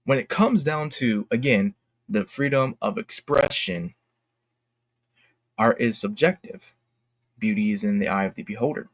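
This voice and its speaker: A man hosting an online commentary video, speaking off the cuff from notes in a halting, self-correcting way. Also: mid-range voice, lively intonation, gravelly, dry recording. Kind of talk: unscripted opinion commentary from notes.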